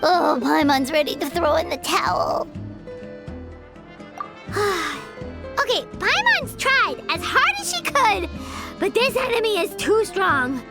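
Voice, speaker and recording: A woman voicing a small, excitable, dramatic fairy companion with a squeaky, exclamatory delivery in a video game. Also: takes it slowly, vocal fry, long pauses, music in the background, exaggerated voice. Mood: defeated, whiny. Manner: squeaky, refers to self in third person, exclamatory.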